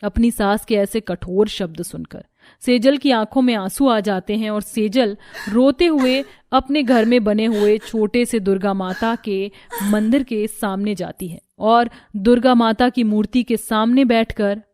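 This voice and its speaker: Woman, narrator, scripted moral story, higher pitch, dry close sound. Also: unhurried, darkish tone, dry recording.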